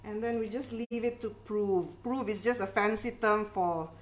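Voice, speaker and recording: A middle-aged woman talks as a home cooking host. Her voice sits at a medium pitch. She is encouraging and informal, explaining each step as casual chat and often adding small tags to check that listeners follow. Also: normal speed, rough recording. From Philippines. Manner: encouraging, chatty, reassuring, with tag questions like okay. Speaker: home baking instructor and cooking show host. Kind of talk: casual cooking demonstration, code-switching chat.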